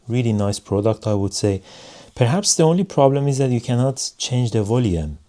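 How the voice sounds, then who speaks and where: A man giving a casual hands-on product review in measured, non-native English phrasing. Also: fairly low pitch, warm tone. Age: adult.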